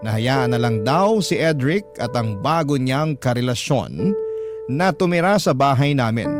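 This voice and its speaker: A Filipino man with a measured radio storytelling style, reading a dramatic personal letter as narration. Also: a little high. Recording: music bed under the voice. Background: background music.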